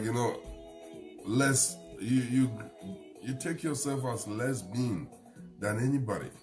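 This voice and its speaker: A Ghanaian man with a low, deep voice hosts a live show, talking earnestly and rambling from point to point. Faint music plays under him. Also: darkish tone. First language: a Ghanaian language. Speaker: host.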